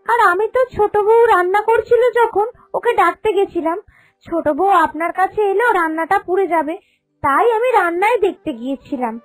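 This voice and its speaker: A woman's voice: very high-pitched, lively intonation, a little fast, no echo, soft background music. A woman playing a lazy, indignant daughter-in-law in a cartoon, grumbling and full of excuses. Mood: defensive. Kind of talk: animated cartoon dialogue.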